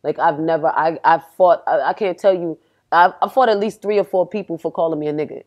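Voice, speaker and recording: A young adult woman, a rapper guest, from Pennsylvania and raised in Texas, talking casually and acting out what people said. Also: a little fast. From Pennsylvania, grew up in Houston, Texas. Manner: animated storytelling, acts out quotes with rising pitch. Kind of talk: casual podcast conversation.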